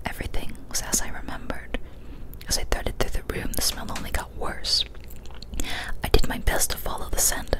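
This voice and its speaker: Young woman doing asmr creepypasta narration, all soft breathy whisper, right up on the mic. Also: rough recording. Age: young adult. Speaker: ASMR storyteller. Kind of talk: ASMR whispered horror story reading. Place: small decorated room indoors. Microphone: large studio mic with foam cover, very close.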